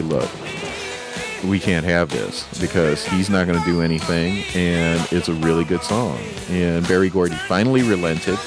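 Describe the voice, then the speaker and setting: A man with a lowish voice hosts a radio music show in a relaxed, chatty way. He laughs easily and trades banter with his co-host. Faint music plays behind him. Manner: laid-back, chatty, laughs often.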